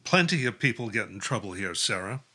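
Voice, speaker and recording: Tired strict priest headmaster, curt, sharp forceful voice, audio play. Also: male voice, fairly low pitch, sing-song, fairly quick, slightly rough, heavily acted. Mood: exasperated. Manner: curt, exasperated, admonishing. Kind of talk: audio drama acting.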